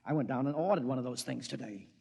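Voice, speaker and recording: A man's voice: medium pitch, fast, vocal fry, dark tone, slightly rough, dry recording. An actor in a 1950s radio drama playing a flustered married man, agitated and exclamatory. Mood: alarmed. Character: flustered, alarmed married man, friend of the family.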